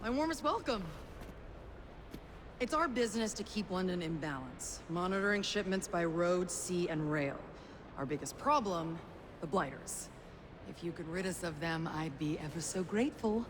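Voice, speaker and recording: A woman's voice: fairly low pitch, lively intonation, moderate pace, natural voice. A female actor playing a genial, self-assured underworld boss in a video game, effusive and colloquial. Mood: welcoming. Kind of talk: video game cutscene acting. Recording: long hall reverb, noisy ambience.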